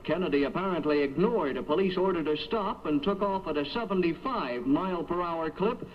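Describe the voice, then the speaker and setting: High-pitched man reading 1970s radio news. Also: expressive, brisk. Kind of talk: scripted 1970s radio newscast. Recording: dull vintage AM radio aircheck.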